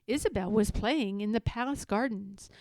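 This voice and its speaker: A woman reading a children's story aloud in an animated, theatrical, expressive way. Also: medium pitch, normal speed, no room sound. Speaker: storybook reader.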